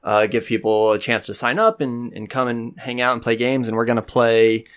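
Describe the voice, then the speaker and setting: Young guy hosting a podcast, all excited and rambly, regular pitch. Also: moderate pace, smooth. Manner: chatty and enthusiastic, rambling with fillers. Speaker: podcast host and game master. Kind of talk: casual chat.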